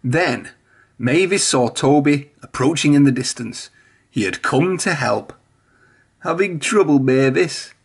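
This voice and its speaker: Husky man reading a children's story. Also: mid-range voice, expressive, a little slow. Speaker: storybook reader. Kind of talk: children's read-aloud narration.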